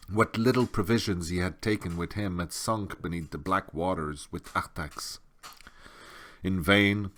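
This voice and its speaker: A man narrating a storybook as an audiobook reader. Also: low-pitched.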